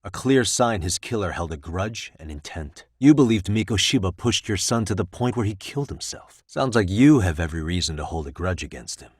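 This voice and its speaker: A sharp, composed male defense lawyer from a video game, with a low-pitched voice recorded very dry. He speaks in measured, articulate phrases. His questioning is formal, probing and insistent. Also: lively intonation, breathy edge, no room sound. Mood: probing, confrontational. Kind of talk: scripted dramatic courtroom dialogue in a game.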